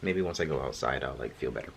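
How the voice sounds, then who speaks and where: A man's voice: extremely low pitch, expressive, quick pace, vocal fry, slight background noise. A young man vlogging casually to camera, sounding stuffy and congested, rambling through a story with lots of fillers. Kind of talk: casual vlog talk to camera.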